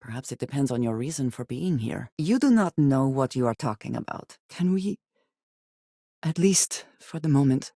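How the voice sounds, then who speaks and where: An actress playing a guarded, earnest female companion in a space adventure video game, with formal, measured diction. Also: rumbling low, lively intonation, dark tone, no room sound, exaggerated voice. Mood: serious, earnest. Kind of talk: scripted video game character dialogue. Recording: very dry, close studio recording.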